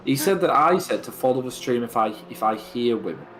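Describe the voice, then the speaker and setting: A man's voice: medium pitch, lively intonation, fairly quick. A British man co-commentating on an online race in casual, joking chat, with a stop-start, hesitant way of talking. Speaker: esports livestream co-commentator. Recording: noisy voice chat on race stream.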